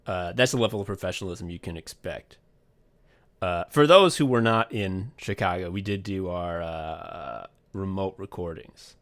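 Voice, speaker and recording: A man's voice: sits low, normal speed, a bit bright. A man co-hosting a podcast, chatting casually and telling rambling, profane behind-the-scenes stories with lots of restarts. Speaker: podcast co-host.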